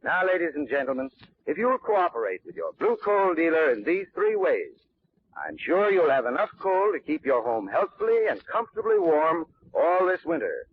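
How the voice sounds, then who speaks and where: A man's voice: on the high side, very animated, normal speed, husky. A man giving a scripted 1940s radio commercial as a folksy, instructive expert. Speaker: advertising spokesman, heating expert. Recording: tinny 1940s radio recording.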